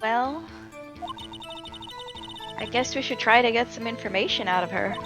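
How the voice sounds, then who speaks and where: A woman's voice: fairly high pitch, moderate pace, over music, pitched below the performer's own voice, natural voice. A woman voicing a young, eager but unsure female assistant in an amateur video game dub. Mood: worried.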